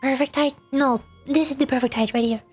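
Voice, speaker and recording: A woman livestreaming a game, talking casually with halting, repeated words. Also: high-pitched, fairly even intonation, darkish tone, breathy edge, faint music behind. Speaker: VTuber game streamer. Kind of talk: livestream read-aloud of game dialogue with casual commentary.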